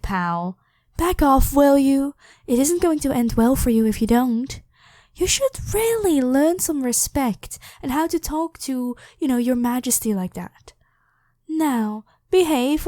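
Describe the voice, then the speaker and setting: A devoted and protective female knight who heads the royal guard, acted by a woman in an ASMR roleplay. Her voice sits a little high with a very mellow, soft tone. She speaks formally and with deference, as if to a monarch, and the recording is close and dry. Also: dry recording. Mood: stern, commanding. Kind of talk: scripted ASMR roleplay acting.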